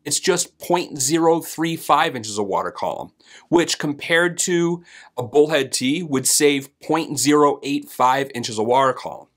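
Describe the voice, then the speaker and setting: Steady man explaining to camera. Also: mid-range voice. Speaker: host and HVAC trainer. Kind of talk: scripted instructional explainer. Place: home office, indoors.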